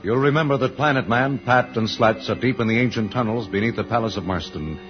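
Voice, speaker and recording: A man's voice: lowish voice, brisk. A man narrating an old-time radio adventure serial as announcer, in a dramatic, declamatory scripted style. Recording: dull old radio transcription with music.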